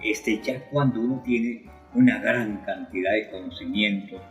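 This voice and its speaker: An older man talking reflectively as an interviewed poet, halting as he searches for words. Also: fairly low pitch. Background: music.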